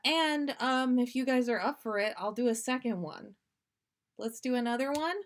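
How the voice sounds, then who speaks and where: Slow-paced woman hosting a casual livestream. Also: on the high side. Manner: giggly, self-deprecating. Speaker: podcast host. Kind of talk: casual livestream chat between story readings.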